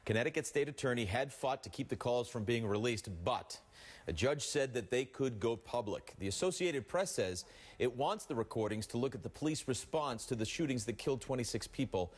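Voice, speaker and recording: A man's voice: mid-range voice, expressive, fairly quick, breathy edge. An American man anchoring morning TV news, mixing read copy with casual banter.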